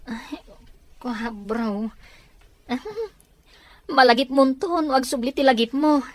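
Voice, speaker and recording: A woman voicing a patient male friend who calmly explains and reassures. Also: sits high, slow pace, no echo.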